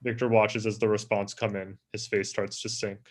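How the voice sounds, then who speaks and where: Lowish-voiced man reading stage directions. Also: flat delivery, moderate pace. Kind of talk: scripted narration.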